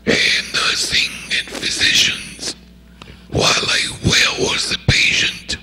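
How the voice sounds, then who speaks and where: An older male physician giving a lecture in a hall, with a low, whispery voice made through a voice prosthesis and a halting delivery full of pauses. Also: bright, forceful tone, slight background noise. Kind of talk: medical grand rounds lecture.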